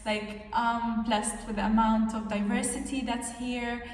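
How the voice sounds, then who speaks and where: A woman's voice: on the high side, flat delivery, a little slow. A nineteen-year-old woman from Jordan chatting casually as a vlogger, upbeat and laughing often. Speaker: vlogger, international student. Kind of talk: casual chat. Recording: echoey room, mic at a distance.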